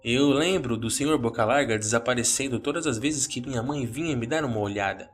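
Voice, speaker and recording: A Brazilian man hosts and narrates a horror podcast. His voice sits at an average male pitch, with music playing faintly behind it. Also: a little fast. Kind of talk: first-person horror story narration.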